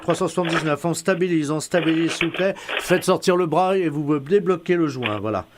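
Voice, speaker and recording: A man's voice: on the high side, brisk, background noise, natural voice. A man playing a solemn, matter-of-fact submarine captain on a prank call, giving official-sounding orders with a straight face. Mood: commanding, procedural. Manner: official, earnest, barking orders to crew. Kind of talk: deadpan telephone prank.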